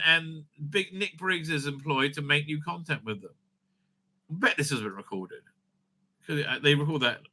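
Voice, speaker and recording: A man giving unscripted, profane, opinionated commentary as a video host, stammering and repeating himself in an excitable way. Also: a little high.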